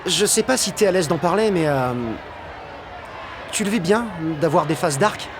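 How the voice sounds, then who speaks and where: An actor playing a shy, gentle teenage boy in a French audio drama, speaking casually and hesitantly. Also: sits high, animated, moderate pace, crowd noise, background noise. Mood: gentle, curious. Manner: hesitant, soft-spoken casual talk.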